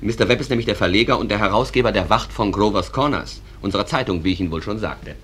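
A man's voice: on the low side, fairly bright tone, a little hiss or hum, lightly acted. A male actor playing a genial, courteous stage manager who presents a small town in a German radio play and politely moves speakers along. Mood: polite, hurried. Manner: polite, briskly cuts speakers short. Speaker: narrator and master of ceremonies.

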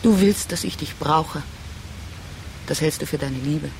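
A woman's voice: sits low, measured pace, vocal fry, slight background noise, rough recording. An actress in a radio drama playing a proud, reproachful woman leaving her partner, insistent and speaking in clipped, accusing phrases. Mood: bitter, resolute. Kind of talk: restrained radio drama dialogue.

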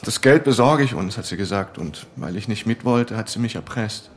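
A man's voice: lowish voice, lively intonation, normal speed, slight background noise, close to an everyday voice. An actor playing a bitter young man confessing to police in a German radio crime drama, subdued and resentful.

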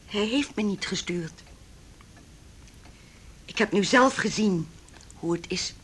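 A woman's voice: mid-range voice, expressive, slow pace, a bit bright, slightly rough, heavily acted. An actress playing a cold, wealthy middle-aged mother in a Dutch radio play, speaking in a formal, condescending, cutting way. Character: haughty, cold, wealthy mother opposing her son's marriage.

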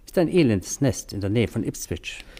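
An actor playing a talkative, worldly doctor with dry wit and a gossipy streak in a German radio crime drama. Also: male voice, on the low side, big pitch swings, normal speed, dark tone. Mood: chatty, wry. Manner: talkative, wry, slightly gossipy.

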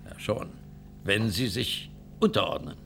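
A man playing a stern, weary starship commander and father in a science-fiction radio drama, gruff, halting and authoritative. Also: on the low side, fairly bright tone, slight background noise. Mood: gruff, disapproving.

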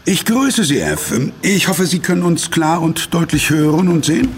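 An actor playing an imperious corporate executive in an audio drama, formal and smug, quick to fawn on superiors. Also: male voice, a little high, animated, music in the background. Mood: flustered, deferential. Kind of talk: German audio drama acting.

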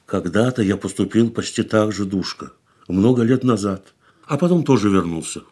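Radio play: weary consoling man, husky, lively melodic delivery, quiet and reflective. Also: fairly low pitch, moderate pace. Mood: gentle, reflective. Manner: soft, reflective, calls her 'darling' tenderly. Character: weary worldly middle-aged man, gentle, consoling. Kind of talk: studio radio drama acting.

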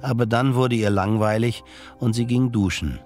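A man's voice: medium pitch, normal speed, darkish tone. A man reading scripted literary narration as the narrator of a German audio drama. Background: music.

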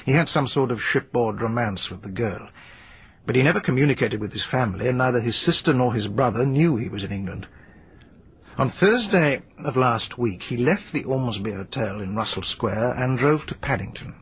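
A patient, persistent police inspector in a radio drama, measured and polite as he reports and questions. His voice is husky and rough-edged, pitched in an ordinary middle range. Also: lively intonation. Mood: earnest report. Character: dogged, skeptical police inspector Narracott.

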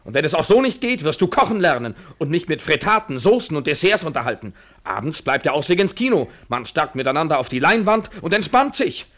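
An actor in a literary German radio play playing a brooding, bitter lover, intense and eloquent, swinging from hushed to vehement. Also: male voice, a little high, very animated, a little fast. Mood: bitterly sarcastic, agitated. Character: brooding, bitter lover, a man wrestling with himself.

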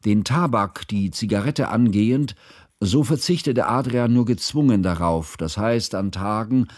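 A man acting as a learned, devoted bourgeois biographer in a radio drama, narrating in a formal, ornate and deliberate way. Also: on the low side, a little mellow, no room sound, studio quality. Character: learned, devoted bourgeois biographer recalling his composer friend.

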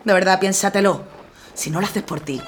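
An actress playing a brisk, persuasive organizer in a wartime radio drama. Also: female voice, medium pitch, slight background noise, close to an everyday voice. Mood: pleading.

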